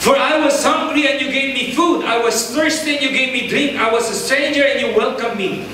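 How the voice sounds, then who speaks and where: A man's voice: very high voice, brisk, bright, forceful tone. A man in his thirties with a Filipino accent, preaching a sermon at a pulpit and switching between English and local languages, emphatic and calling on the congregation. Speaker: pastor. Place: large church hall, indoors. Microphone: handheld mic at a pulpit, filmed from far. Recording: echoey hall from far off with noise.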